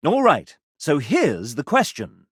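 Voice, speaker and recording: A man voicing a sassy, arch gentleman who narrates a dating video game in a theatrical, mock-genteel and sardonic manner. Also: fairly high pitch, huge pitch swings, slow pace, slightly rough, dry recording, studio quality, exaggerated voice. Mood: sassy, wry.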